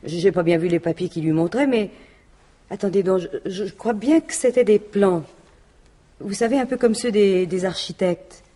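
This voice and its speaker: Radio mystery widow, female actor: French, dark and raspy tone, plain-spoken, jealous, guarded, stumbling over her phrases. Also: mid-range voice, lightly acted. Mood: confiding. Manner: halting, colloquial, defensive. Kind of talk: 1950s-style French radio mystery acting.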